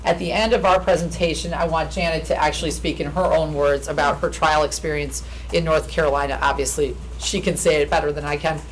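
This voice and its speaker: A woman attorney with a naturally loud voice, making an earnest, persuasive presentation at a podium in a county meeting room. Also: low voice, fairly even intonation, fairly quick, slight background noise, low-quality recording. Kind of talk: formal pitch presentation. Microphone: podium microphone.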